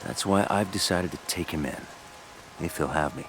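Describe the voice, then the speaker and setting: Very deep, gravelly brooding vigilante. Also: male voice, normal speed, music in the background, poor audio. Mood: solemn. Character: brooding, protective adult male masked vigilante. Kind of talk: animated series acting.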